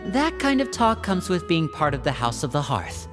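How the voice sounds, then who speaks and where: A woman's voice: sits low, sing-song, moderate pace, over music. Woman voicing a charming young brother figure. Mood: explaining. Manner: polite, warm host-like, gently teasing. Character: charming young man, a smooth big brother. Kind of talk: fan voice dub.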